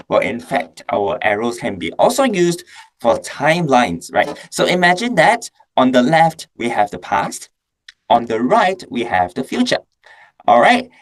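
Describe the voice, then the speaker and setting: Lively Singaporean man teaching online. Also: a little high. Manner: upbeat, asks questions and checks in with okay. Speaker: math teacher. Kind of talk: online class lesson.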